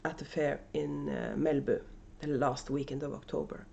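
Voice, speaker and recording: A woman's voice: deep voice, fairly even intonation, normal speed, vocal fry, a little mellow, highs cut off. A woman with a Norwegian accent chats casually and unscripted as host of a knitting video podcast at home, with many fillers and restarts. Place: at home, indoors. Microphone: camera microphone.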